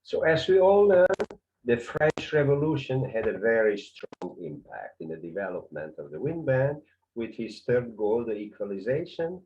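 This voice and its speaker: A man with a Hungarian accent, a conductor giving a hesitant lecture full of fillers, rising in pitch on key words. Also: sing-song, normal speed, a little mellow, highs cut off.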